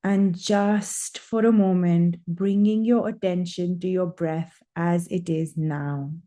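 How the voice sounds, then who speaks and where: A woman leading a guided breathing meditation, speaking in slow, soothing, drawn-out phrases with long pauses. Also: on the low side, fairly even intonation, warm tone.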